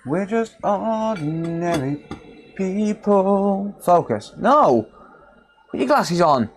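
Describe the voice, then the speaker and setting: A man's voice: high voice, very animated, slow. A man chatting casually on a live stream while repairing a console, sarcastic and swearing often as he answers his chat.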